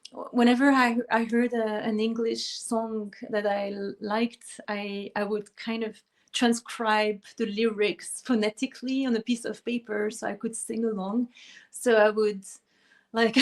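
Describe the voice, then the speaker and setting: A middle-aged woman from France, whose first language is French, speaking in a conversational interview with light laughter and frequent restarts. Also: medium pitch. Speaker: guest musician.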